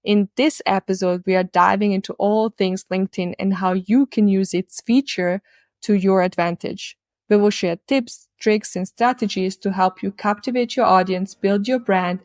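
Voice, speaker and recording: A woman's voice: medium pitch, no room sound. A woman with a Croatian accent, a marketing coach hosting a podcast interview with an upbeat, promotional manner. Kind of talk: podcast interview, scripted intro.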